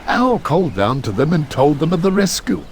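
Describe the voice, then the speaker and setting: Very animated male storybook narrator. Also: on the high side, normal speed, a crowd in the background. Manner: expressive storytelling. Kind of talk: scripted children's story narration.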